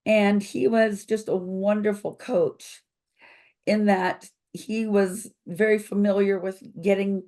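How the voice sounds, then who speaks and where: An older woman with a froggy, slightly rough voice from a recent illness talks at a very unhurried pace. She gathers her thoughts with pauses and fillers, speaking over a video call from a home office. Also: lowish voice, a little mellow. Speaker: company founder, guest.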